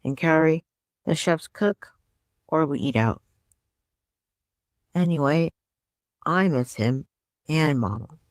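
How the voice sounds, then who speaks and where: A woman's voice: low voice, slow pace, pauses often, dry recording, clean studio sound. A woman voicing a caring, reflective woman in an audiobook drama, thoughtful and tender. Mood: nostalgic.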